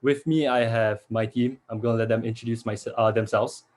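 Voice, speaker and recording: A young man from Singapore presents a webinar over a video call. He sounds friendly and organized, with a mid-pitched voice. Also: steady tone, fairly quick, a little mellow. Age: young adult. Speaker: student presenter.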